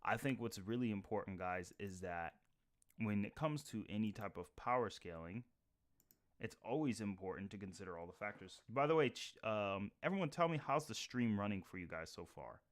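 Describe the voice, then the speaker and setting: A man hosts a live stream in a loose, rambling chat, often stopping to restart his sentences. His voice sits fairly low and goes through a computer mic. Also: animated, moderate pace. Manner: rambling, full of restarts and fillers. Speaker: livestream host. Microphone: computer mic with webcam.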